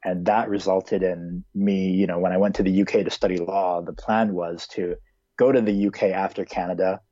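A man's voice: low voice, level tone, moderate pace, warm tone, no echo, dull audio. A man in his twenties who grew up in Hong Kong, answering interview questions in a casual, hesitant way with many fillers. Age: 20s.

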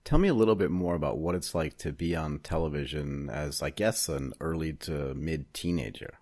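A man's voice: rumbling low, normal speed, darkish tone. A man hosting an interview podcast, chatty and asking long, rambling questions. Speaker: podcast host.

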